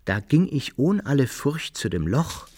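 A man narrating an old adventure tale in a radio play, with expressive, dramatic storytelling. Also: medium pitch, a little slow, slight background noise. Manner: expressive storytelling, archaic wording.

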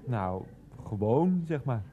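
A man's voice: medium pitch, big pitch swings, slow pace, phone-quality sound, a little hiss or hum. An actor playing a rambling, defiant, grumbling man who likes a drink, muttering to himself in a radio play. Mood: awkward, baffled. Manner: muttering to himself, talks of himself in third person. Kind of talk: Dutch radio play acting.

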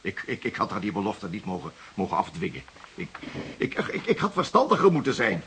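A man's voice: on the low side, huge pitch swings, fairly quick, a bit bright, a little hiss or hum, heavily acted. An actor playing a wealthy, vain 55-year-old widower in a radio drama, defensive and indignant, stammering and repeating his words. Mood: shaken, self-reproachful. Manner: stammering, repeating words, blustering when challenged. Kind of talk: Dutch radio drama dialogue.

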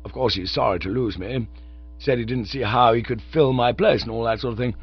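A man voicing a silly, excitable young upper-class English gentleman in a storybook reading, plummy and wordy. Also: medium pitch, fairly quick, breathy edge, dull audio, faint music behind. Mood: breezy, self-satisfied. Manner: plummy, indignant or breezy, wordy.

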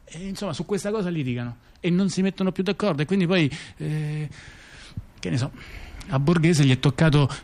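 Halting, colloquial man telling a story. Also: on the high side, darkish tone. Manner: halting, colloquial, repeats words, asks rhetorical questions. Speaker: performer in a two-person theatre dialogue. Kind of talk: conversational storytelling to an audience member.

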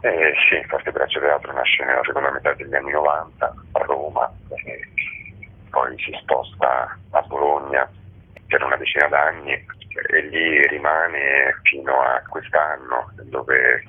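A man giving halting, measured, reflective answers as a theatre director interviewed over a tinny telephone line. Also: extremely low pitch, flat delivery, breathy edge. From Rome, Italy. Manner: halting, measured, with pensive pauses. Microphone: phone call.

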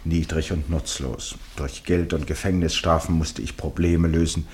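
A man's voice: extremely low pitch, fairly even intonation, normal speed, darkish tone. An older man acting in a German radio play as an eccentric, genial club chairman and former judge, speaking formally and grandly. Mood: proud oratory. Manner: grandiloquent, precise, self-amused.

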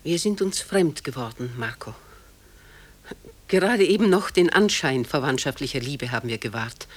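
A woman's voice: rumbling low, lively intonation, moderate pace, husky, heavily acted. An actress playing an elderly, possessive mother in a German radio play, speaking in a tender, plaintive, probing manner. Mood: pleading, agitated. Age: older. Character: elderly, still beautiful, possessive, anxiously doting mother.

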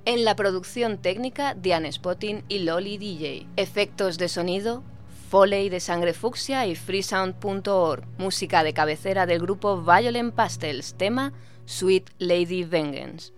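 A woman's voice: mid-range voice, unhurried. A woman reading scripted credits as an announcer for an audio drama. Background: music.

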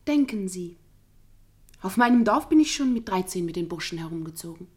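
Junge Frau mit hauchiger Stimme. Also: female voice, medium pitch, expressive, a little fast, slightly rough. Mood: frank, resigned. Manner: frank, restrained, resigned. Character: candid young village woman, actress in touring troupe. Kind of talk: quiet, intimate German radio play acting.

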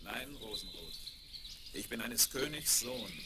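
A man playing a young, earnest prince who speaks calmly in a children's fairy-tale radio play. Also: medium pitch, measured pace, natural voice. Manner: calm, earnest explaining. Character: young noble prince freed from a curse. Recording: very noisy 1970s vinyl record.

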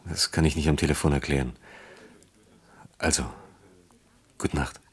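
Very deep-voiced, hesitant man. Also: fairly even intonation, normal speed, breathy edge, exaggerated voice. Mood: subdued. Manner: hesitant, earnest, terse. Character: troubled, subdued engineer, awkward and yearning. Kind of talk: naturalistic German radio drama acting.

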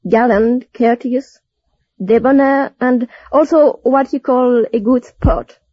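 A woman's voice: a little high, steady tone, slow pace, dry recording. A woman from France with a French accent, answering as a contestant on an old radio quiz show. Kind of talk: unscripted interview answers. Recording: muffled old radio recording.

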